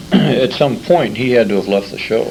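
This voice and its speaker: A man conducting a conversational interview, putting his questions carefully and a little haltingly. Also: mid-range voice, animated, creaky, a little mellow. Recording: noisy amateur convention interview tape.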